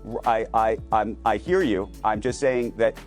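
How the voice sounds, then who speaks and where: A man's voice: on the low side, normal speed, soft background music. Man pressing hesitantly with questions. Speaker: TV business interviewer. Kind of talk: live TV business interview.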